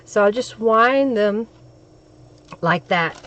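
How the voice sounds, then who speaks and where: A woman with a crackly, hoarse voice from a cold, hosting a crafting live stream and chatting with viewers as she works. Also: medium pitch, animated, very slow, a bit bright.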